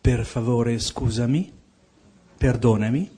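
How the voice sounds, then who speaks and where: A man's voice: medium pitch, steady tone, a little slow, a crowd in the background. A man who is a native Italian speaker, with an Italian accent, speaking up as a seminar attendee. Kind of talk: asking a question from the audience. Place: seminar room.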